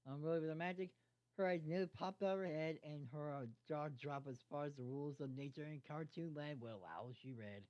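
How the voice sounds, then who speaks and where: An amateur reader narrating a fan fiction story aloud in a halting way, stumbling over words and repeating phrases. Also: medium pitch, darkish tone. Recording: dull lo-fi microphone sound.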